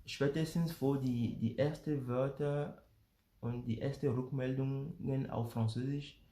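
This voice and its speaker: A man from Benin explains family life calmly and slowly, pausing often with fillers and repeating words as he searches for them. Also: mid-range voice, reverberant. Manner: slow, hesitant, many fillers and repeated words. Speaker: father sharing family experience. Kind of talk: casual explanatory talk to camera.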